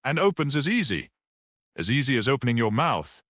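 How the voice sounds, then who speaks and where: A man's voice: medium pitch, very animated, a little fast, a bit bright, no echo, clean studio sound, voice pushed up. A man voicing a brash, boastful young motorist in an audiobook, exclaiming and insisting eagerly.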